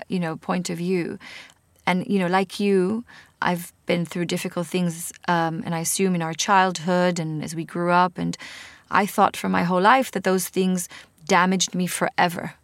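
A woman with an Israeli accent hosting a podcast interview, asking earnest, thoughtful questions in a gentle, probing way. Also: on the low side, no room sound. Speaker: podcast host. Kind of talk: reflective interview conversation.

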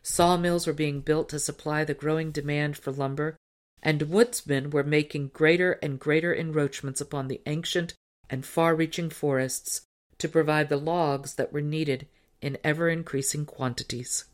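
A woman reading a tall tale as an audiobook narrator, in measured, clearly phrased scripted storytelling. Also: deep voice, fairly even intonation.

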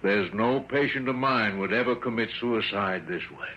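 Folksy old country doctor, vintage radio. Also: male voice, on the low side, normal speed, slightly rough. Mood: brusque, dismissive. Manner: folksy, repetitive, hemming, insistent. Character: brusque, dismissive old small-town country doctor. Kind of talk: old-time radio drama acting. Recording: dull, faintly noisy vintage radio recording.

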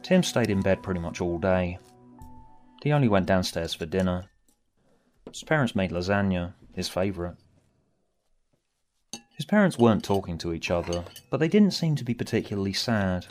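A man's voice: low-pitched, expressive, normal speed, long pauses, darkish tone. A man reading a scripted story aloud as its narrator, with music behind him. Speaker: author and narrator.

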